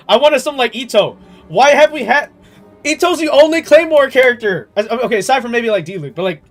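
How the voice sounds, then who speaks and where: A man giving casual gaming commentary as a streamer, talking in an animated, slangy, exasperated way. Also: very high-pitched. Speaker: game streamer.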